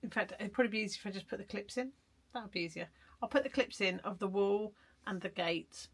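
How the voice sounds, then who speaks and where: A woman vlogging from her shed, her voice hoarse and croaky from a cold. She talks in a chatty, rambling, self-deprecating way, with a gravelly rasp at the bottom of her voice. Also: medium pitch, quick pace. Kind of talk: casual art vlog chat. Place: garden shed studio.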